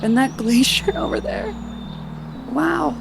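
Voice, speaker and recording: A woman's voice: high-pitched, animated, slow, vocal fry, background noise, heavily acted. An actress playing a caring, determined woman leader in an audio drama, speaking in a frank, earnest and tender way. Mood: tender, awed. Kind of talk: naturalistic audio drama acting. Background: music.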